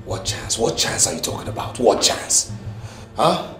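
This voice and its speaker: An actor playing a desperate father, defiant and pleading, often shouting frantically. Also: on the low side, sing-song, unhurried. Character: desperate father defending his family. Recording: echoey with music behind.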